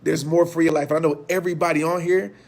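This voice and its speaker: Hoarse impassioned male motivational speaker. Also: a little high, level tone, fast. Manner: impassioned, repetitive preaching cadence. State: hoarse, losing his voice. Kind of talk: live motivational talk to viewers.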